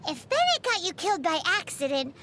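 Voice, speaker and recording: A woman voicing a tiny, childlike, excitable fairy companion in scripted game dialogue, with a squeaky, exclamatory delivery. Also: brisk, noisy background. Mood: cautioning. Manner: exclamatory, refers to self in third person.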